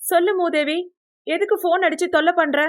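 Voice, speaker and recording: A woman acts out a feisty, emotional college girl in an audio novel. Her voice rides high, pouting and high-strung, with dramatic delivery. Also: fairly even intonation, brisk. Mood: irritated.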